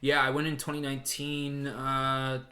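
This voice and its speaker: A man's voice: mid-range voice, monotone, normal speed, bright, forceful tone. A man chatting casually on a podcast, slangy and peppered with swearing. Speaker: podcast co-host. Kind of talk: casual chat.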